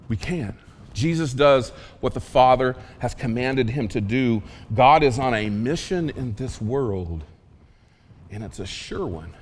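A man preaching a sermon in a casual, emphatic way, with asides and quick questions to the congregation. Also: a little high, big-room sound. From Oklahoma. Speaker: pastor.

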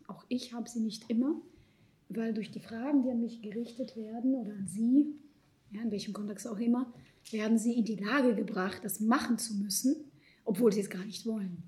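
A middle-aged woman with a rather high but mellow, warm voice speaks on a discussion panel. She is thoughtful and pauses often on fillers, letting phrases trail off softly. She was born in Ukraine and grew up in Israel. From born in Ukraine, raised in Israel. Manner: thoughtful, hesitant with frequent fillers and soft trailing asides. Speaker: psychologist and panel guest.

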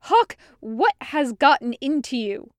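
A woman voicing a stern, indignant female guard captain who scolds forcefully in an audiobook story. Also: sits high, very animated, slow pace, no room sound, pitched above the performer's own voice, full character voice. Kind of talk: audiobook character acting.

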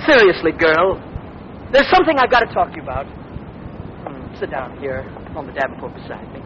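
Cocky, high-voiced young would-be executive. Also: male voice, big pitch swings, brisk. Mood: grandly solemn. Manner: boastful, pompous, stammering when flustered. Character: cocky, self-important eager young man playing big executive. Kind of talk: 1940s radio comedy acting. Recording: noisy, muffled 1940s radio recording with audience.

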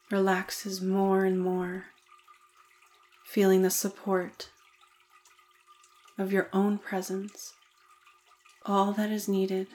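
Woman, meditation guide, very slow pace, even pitch, soothing delivery, gentle pauses.

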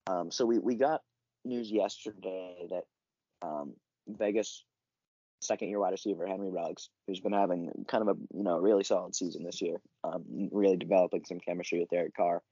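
A teenage boy co-hosting a casual sports podcast, still recovering from an illness and clearing his throat, talking in a relaxed way with many fillers. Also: sits low, moderate pace, dry recording. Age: teens. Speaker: podcast co-host. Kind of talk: casual sports chat and news rundown. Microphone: basic home podcast setup. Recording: dull lo-fi dry recording.

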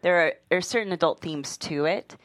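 A woman's voice: fairly low pitch, brisk, no room sound. A woman chatting casually on a discussion panel, informal and opinionated. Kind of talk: casual chat.

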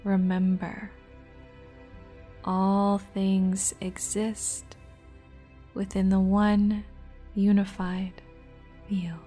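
A woman leading a guided meditation in a soft, breathy, near-whispered voice, gently, with long pauses between short phrases. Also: mid-range voice, flat delivery. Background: music.

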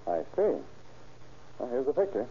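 A man's voice: mid-range voice, big pitch swings, normal speed, close to an everyday voice. An actor playing a wry, colloquial undercover man in an old radio drama. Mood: wry, uneasy. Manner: wisecracking, colloquial. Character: wry, breezy male undercover private secretary. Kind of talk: 1930s radio drama acting. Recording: tinny, hissy old radio recording.

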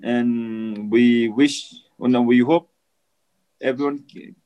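A man with a Nepali accent, a native Nepali speaker, answering interview questions in halting, simple English. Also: medium pitch, fairly even intonation, warm tone, low-quality recording. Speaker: interview guest, mountaineer. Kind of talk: casual interview.

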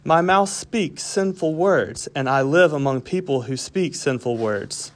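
A man reading scripted Bible story narration for a children's audio drama, in a deliberate, line-by-line way. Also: a little high, expressive.

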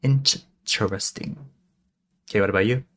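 A man's voice: fairly low pitch, moderate pace. A man from Hong Kong co-hosting a podcast, chatting casually with frequent laughs and offhand swearing. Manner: animated, giggly, swears casually. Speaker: podcast co-host. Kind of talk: casual chat.

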